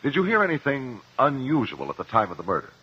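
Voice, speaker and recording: An actor in a 1950s radio drama playing a principled cross-examiner, measured, articulate and pressing. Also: male voice, medium pitch, huge pitch swings, slightly rough. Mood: probing. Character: probing, principled male courtroom cross-examiner. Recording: muffled vintage 1950s radio recording.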